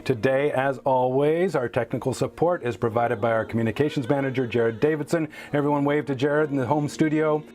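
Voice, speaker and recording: A man's voice: mid-range voice, fairly even intonation, a little fast, hardly any pauses, darkish tone. A man hosts an online interview in an ordinary mid-range voice. He is enthusiastic and rambles a little, circling back and rephrasing as he asks questions over a video call. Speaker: host, artistic director. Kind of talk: interview hosting.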